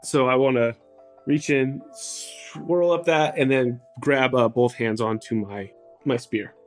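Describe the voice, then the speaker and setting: A man of medium pitch talks through his moves in a tabletop roleplaying game. His delivery is casual and conversational, and faint music plays behind him. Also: fairly even intonation, takes it slowly. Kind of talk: casual game talk, describing character actions.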